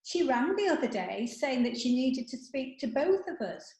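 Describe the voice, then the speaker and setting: A woman's voice: high-pitched, very animated, normal speed, darkish tone. A woman playing a chatty, matter-of-fact older friend in an amateur comedy sketch. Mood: gossipy. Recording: echoey room.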